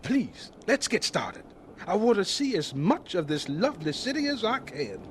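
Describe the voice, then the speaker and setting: An actor playing a kindly, absent-minded elderly gentleman scientist in a radio drama, hesitant and given to repeating words as he rhapsodizes. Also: sits high, big pitch swings, moderate pace. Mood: earnest. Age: older. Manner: hesitant, repeating words, rapturous about nature. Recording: noisy old radio drama recording.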